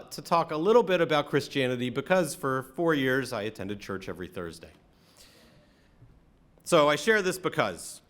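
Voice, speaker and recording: An American man from Atlanta speaking as a rabbi, giving a conversational, reflective sermon with frequent halting pauses. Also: fairly high pitch, fairly bright tone. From Atlanta, American South. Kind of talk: sermon, conversational talk to congregation.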